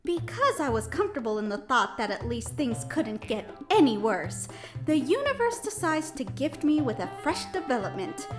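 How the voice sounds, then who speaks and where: A woman's voice: sits high, big pitch swings, moderate pace. A woman playing an anxious, earnest young female student who narrates a visual novel audio drama in a dramatic, hesitant way. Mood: exasperated, anxious. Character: anxious, exasperated young female student, new club member. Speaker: voice actor narrating and playing the lead. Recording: music behind the voice.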